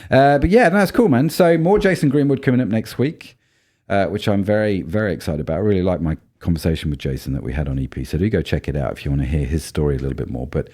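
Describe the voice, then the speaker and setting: Man, very deep baritone, dark mellow tone, roughened by a cold, casual podcast co-host, rambly with ums. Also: fast talker. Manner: chatty, thinks aloud with many ums and restarts. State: voice low and rough from a cold spell.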